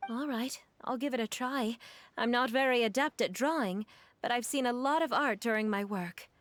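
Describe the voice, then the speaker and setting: A woman playing a gentle, polite young mother, earnest and measured, in a video game cutscene. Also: fairly high pitch, dry recording, close to an everyday voice. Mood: thoughtful, attentive.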